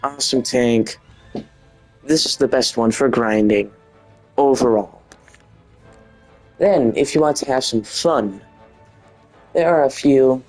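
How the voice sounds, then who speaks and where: A man with a Dutch accent talks through a gaming video at a slow pace. His commentary is casual and informal, built on short emphatic phrases, with faint music underneath. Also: mid-range voice, a little mellow. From Netherlands.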